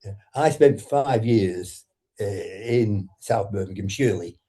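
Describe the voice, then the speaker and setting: A man's voice: on the low side, takes it slowly, warm tone. A man in his eighties from Lancashire, a guest author speaking in a casual interview with a northern English accent, often hesitating and restarting. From Lancashire, England. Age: 80s. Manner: hesitant, many ums and restarts.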